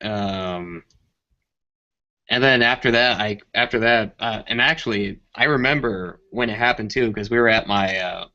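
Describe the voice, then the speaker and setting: A man's voice: on the low side, normal speed, pushed and bright. A man chatting casually as a participant in a group online call, with dull, lo-fi sound. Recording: dull lo-fi internet call audio.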